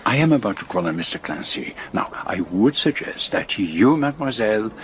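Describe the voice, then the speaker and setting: An actor playing a shrewd, courteous middle-aged detective with a French-speaking Belgian accent, speaking formally and precisely in a radio drama. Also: male voice, fairly low pitch, very animated, fairly quick, fairly bright tone, a little hiss or hum. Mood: brisk, persuasive.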